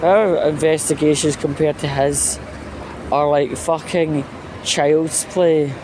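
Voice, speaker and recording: Male vlogger, unhurried and halting, emphatic and foul-mouthed, informal rant, noticeable street-like background noise. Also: a little high, steady tone. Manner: blunt, sweary, emphatic. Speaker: vlog host.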